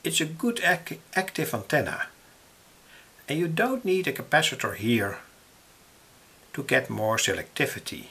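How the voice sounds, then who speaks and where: Slow-talking Dutch-accented man, gravelly and husky. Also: medium pitch, big pitch swings, bright, forceful tone. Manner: halting, deliberate, explanatory. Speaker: electronics hobbyist presenter. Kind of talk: unscripted tutorial explanation. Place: indoors, at a table.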